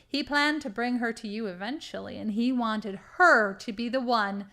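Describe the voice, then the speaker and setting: Woman acting a scheming, high-voiced female crime boss. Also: normal speed, fairly bright tone. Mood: gloating, cold. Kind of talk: audio drama acting.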